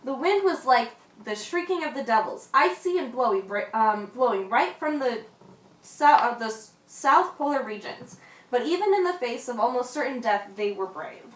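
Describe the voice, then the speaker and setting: A woman's voice: a little high, animated, normal speed, lightly acted. A woman playing an old male sailor who spins yarns in an amateur radio play, stumbling over the reading. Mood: storytelling. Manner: stumbles while reading narration. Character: old male sailor Forty Fathom, grumbling but obliging yarn-spinner. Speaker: storyteller. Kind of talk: amateur radio drama acting. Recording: roomy, distant mic.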